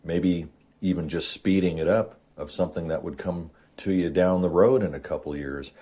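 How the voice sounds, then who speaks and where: A man's voice: low-pitched, steady tone, moderate pace, dark tone, slightly rough. A man hosting an amateur audio show, talking to listeners in an informal, earnest, rambling explanatory monologue.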